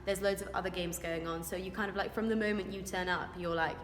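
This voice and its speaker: A woman's voice: fairly low pitch, fairly even intonation, quick pace. Young woman athlete, informal and keen, recorded in a reverberant hall. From Wales. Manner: chatty, eager, informal. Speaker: rugby scrum-half. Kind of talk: interview answers. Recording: echoey room with long reverb, music behind.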